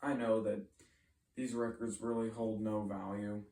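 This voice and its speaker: A man casually showing off old records, talking in a relaxed, hesitant way with fillers. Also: lowish voice, a little slow, heavy background noise. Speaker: record collector. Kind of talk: casual show-and-tell. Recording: echoey room, distant camera mic.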